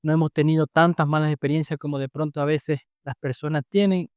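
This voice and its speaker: Venezuelan man, cheerful faith podcast co-host, fairly high voice, dry close mic. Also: steady tone, fast, a little mellow, clean tone, no room sound. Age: adult, married. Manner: cheerful, affectionate, enthusiastic.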